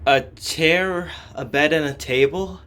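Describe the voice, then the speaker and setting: A man's voice: on the high side, takes it slowly, pushed and bright. A man reading translated game dialogue and narration aloud as a scripted playthrough. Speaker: reader voicing a game playthrough.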